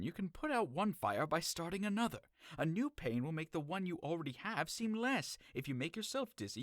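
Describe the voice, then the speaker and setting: Guy as young buddy in an audio play, teasing n pushy, slightly high voice, lots of up and down. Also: no room sound, studio quality. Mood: coaxing, teasing. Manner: animated, persuasive. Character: young male friend, teasing, brisk, persuasive confidant. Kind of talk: audio drama acting of modernized Shakespeare.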